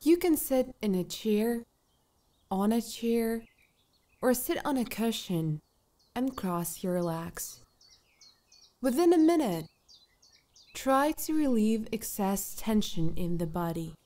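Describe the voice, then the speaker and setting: A woman guiding a meditation with scripted instructions, speaking in a calm, soothing, measured way with long pauses. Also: fairly high pitch. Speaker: meditation guide.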